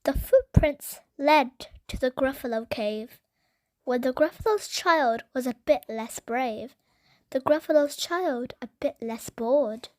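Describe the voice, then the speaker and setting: A woman narrating a children's bedtime story in an expressive, deliberate, dramatic read-aloud style. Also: high voice, dry recording.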